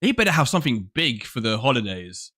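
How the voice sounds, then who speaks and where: Man in his forties, bright tone, rambling game host, casual chat. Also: medium pitch, sing-song, a little fast. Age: 40s. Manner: rambling, many restarts, laughs often. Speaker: podcast host.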